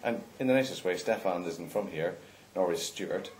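A man's voice: sits low, normal speed, darkish tone, a little hiss or hum, low-quality recording. A man who helped organise an event chats casually and enthusiastically, reminiscing with tag questions. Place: at the back of a post office.

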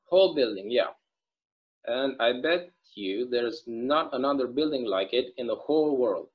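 A man's voice: medium pitch, animated, moderate pace, breathy edge, dull audio. A man reading the part of a plainspoken small-town local at a video-call table read. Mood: folksy. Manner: folksy, plainspoken.

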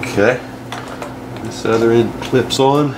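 A man giving a casual, plain-spoken, halting hands-on demonstration as a DIY car repair host, working over an engine bay. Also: medium pitch, fairly even intonation. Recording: clear engine-bay noise and clatter.

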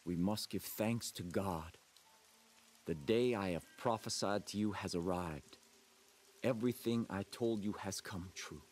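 A man playing a solemn, messianic doomsday cult leader in a video game, preaching in grave, deliberate, rhetorical monologues. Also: low voice, a little mellow, a little hiss or hum. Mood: grave, prophetic. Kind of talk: dramatic sermon and broadcast monologue.

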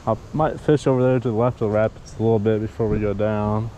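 A man's voice: fairly low pitch, fast. A young man casually narrating a fishing trip on a river, coaching a friend as he goes. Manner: relaxed, chatty, coaching a friend. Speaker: fishing vlogger. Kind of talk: casual outdoor vlog. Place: river outdoors on a paddle board. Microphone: action camera on the board. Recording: outdoor camera audio with water noise. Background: flowing water.

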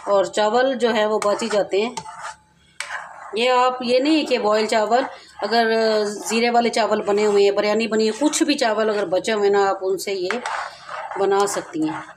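A woman at her home stove explains a recipe step by step, talking straight to her viewers in a loose, chatty, repetitive way. Her voice sits at a middle pitch, with a faint hiss and clinks of pots and pans behind it. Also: steady tone, unhurried. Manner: chatty, repeats herself, addresses viewers directly. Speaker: cooking channel host. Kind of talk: home cooking tutorial, casual explaining. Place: home kitchen, over a stovetop.

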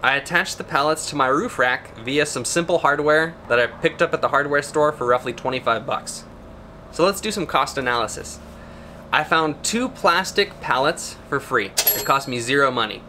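A man's voice: a little high, animated, normal speed, sharp tone, slight background noise. A man in his thirties giving an upbeat, friendly how-to talk outdoors beside his van, with laid-back slang. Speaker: vlogger.

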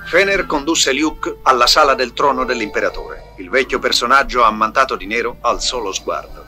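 A man's voice: mid-range voice, animated, normal speed, pushed and bright. A man reading scripted storybook narration as the narrator of a recorded tale. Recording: music under the voice. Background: music.

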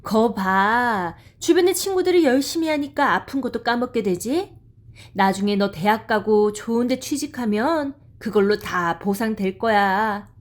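A woman voicing a busy, pushy working mother in an audio drama, talking in a brisk, nagging way. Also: fairly high pitch, expressive.

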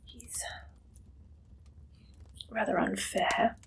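A young woman playing an eager, shifty Victorian pie-shop keeper in an ASMR roleplay, breathy and whispery, halting and coyly secretive. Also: background noise. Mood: nervously chatty. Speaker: ASMR performer. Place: small dim indoor room.

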